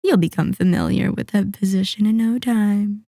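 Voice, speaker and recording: A woman voicing a centuries-old, sadistic and possessive vampire in an intimate ASMR roleplay, speaking in a deliberate, taunting, commanding manner. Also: medium pitch, creaky, dark tone, dry recording, clean studio sound. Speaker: audio roleplay performer.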